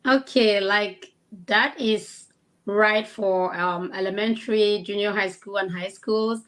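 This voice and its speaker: Cameroonian-accented woman, medium pitch. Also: steady tone, slow pace, a bit bright. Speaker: interview guest, kindergarten teacher. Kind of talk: casual interview chat.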